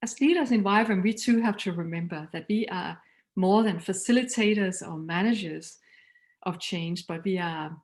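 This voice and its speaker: A woman from Denmark with a Danish accent, a mission leader giving a prepared talk in an earnest, measured way that trails off softly at phrase ends. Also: mid-range voice.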